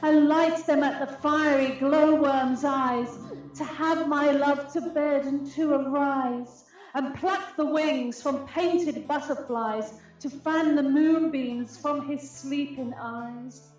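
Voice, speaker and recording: A high-voiced, regal fairy queen in love, played by a woman, declaims Shakespearean verse in a radio drama. She is stately and unhurried, sensual yet commanding. The sound is very echoey, with music behind. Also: fairly even intonation, darkish tone. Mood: doting, regal. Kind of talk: Shakespearean radio play acting. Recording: echoey, slightly dull audio, music behind.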